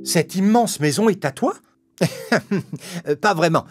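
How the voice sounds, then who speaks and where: A small, expressive cartoon rat in a children's fable. The voice is high and swoops around with theatrical excitability, over faint music. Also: male voice, measured pace. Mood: curious. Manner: exclamatory, theatrical.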